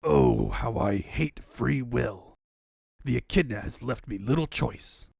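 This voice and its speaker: A scheming evil overlord, acted out by a man for a comic fan dub. The delivery is unhurried, theatrical and full of pompous self-importance, on a dry, close recording. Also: mid-range voice, big pitch swings, vocal fry, a little mellow, breathy edge, no echo, full character voice. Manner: grandiose, theatrical. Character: pompous scheming male villain, mad-scientist overlord.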